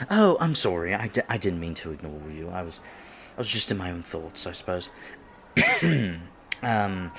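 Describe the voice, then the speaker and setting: A man plays an awkward, geeky blind date in an audio roleplay, with a lowish voice that leaps around expressively. He stammers, gets flustered and puts himself down, over faint ambient noise. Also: exaggerated voice. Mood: nervous, flustered. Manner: flustered, stammering, self-deprecating. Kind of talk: roleplay audio drama acting.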